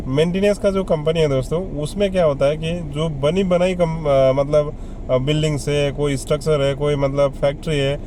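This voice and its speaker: A man's voice: fairly high pitch, dark tone, a little hiss or hum. A man giving casual, conversational advice to viewers as a vlogger, often repeating himself and listing examples. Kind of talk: casual explainer addressed to viewers.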